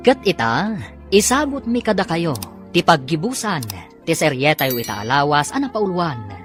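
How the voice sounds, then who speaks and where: A woman's voice: deep voice, sing-song, normal speed. A woman with an Ilocano accent announcing a radio drama in a theatrical, scripted manner. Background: music.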